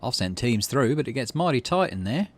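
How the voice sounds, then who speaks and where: Man voicing a practical, animated female expedition leader. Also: medium pitch, brisk. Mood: businesslike.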